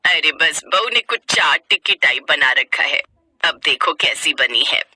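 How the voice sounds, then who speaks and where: A woman's voice: low-pitched, lively intonation, measured pace, sharp tone, slightly rough, voice pushed down, full character voice. A woman voicing a skeptical, exclamatory older mother-in-law in a Hindi cartoon family story, with a grumbling colloquial manner. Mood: anxious, doubtful. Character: stern, skeptical older mother-in-law who softens into pride.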